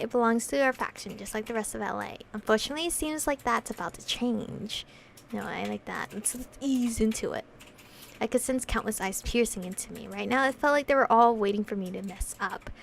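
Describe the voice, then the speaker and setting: A woman's voice: a little high, gravelly, a little hiss or hum. A woman doing a casual visual novel playthrough, reading story text aloud and reacting with slangy, sweary commentary. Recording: music playing behind the voice. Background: background music.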